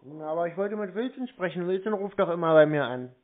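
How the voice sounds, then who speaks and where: A man with a slightly high voice talks casually over a narrow, tinny phone line. He plays the innocent prank caller, dogged and never flustered. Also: lively intonation, quick pace. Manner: unflappable, dogged, feigned innocence. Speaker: prank caller to scam call centres. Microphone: telephone.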